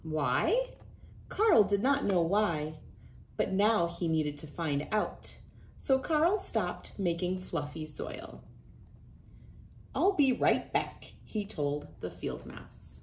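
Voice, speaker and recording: Animated woman reading a storybook, unhurried. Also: fairly low pitch. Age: 30s. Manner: expressive, deliberate storytelling delivery. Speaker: children's book reader. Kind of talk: read-aloud storybook narration. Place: small wood-panelled room indoors.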